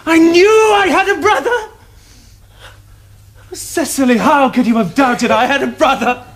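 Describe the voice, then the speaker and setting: An actor playing an excitable, earnest young Victorian gentleman with an upper-class English accent, in formal theatrical diction for a television period comedy. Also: very high-pitched, a little slow, heavily acted. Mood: elated, indignant. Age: young adult.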